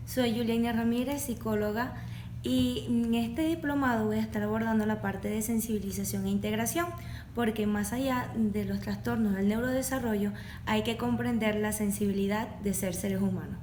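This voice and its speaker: A woman, a psychologist, introducing herself in a soft, earnest way. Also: on the high side, fairly even intonation, moderate pace, slight background noise. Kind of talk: podcast self-introduction. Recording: roomy echo from a distance.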